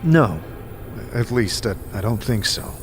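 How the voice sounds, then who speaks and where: A man playing a bewildered amnesiac wanderer in an audio drama, speaking in a halting, unsure manner. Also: lowish voice, animated, in a large hall, noisy background. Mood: hesitant, confused. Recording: music bed under the voice.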